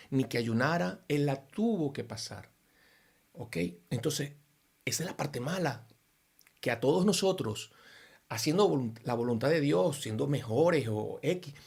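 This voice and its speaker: A man's voice: mid-range voice, gravelly, a little mellow, breathy edge. A man from Venezuela with a Venezuelan Spanish accent, giving an informal, earnest Bible reflection full of rhetorical questions and restarts. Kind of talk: informal sermon-style reflection.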